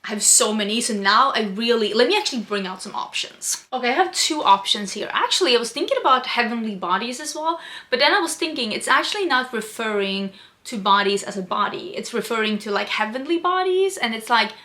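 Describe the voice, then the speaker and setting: Bright, chatty woman. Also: fairly high pitch, lively intonation, brisk. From Sweden. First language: Swedish. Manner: chatty, upbeat, lots of "like" fillers. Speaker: book vlogger. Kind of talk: casual book chat to camera.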